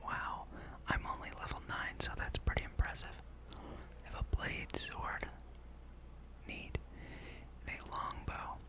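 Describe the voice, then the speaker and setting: A gaming ASMR host in a breathy, unvoiced whisper, musing aloud in an unhurried ramble while playing.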